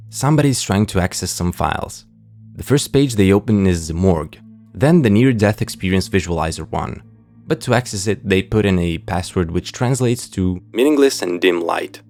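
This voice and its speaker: A man's voice: deep voice, a little mellow. A man narrating a scripted video essay, understated and wry, with casual asides.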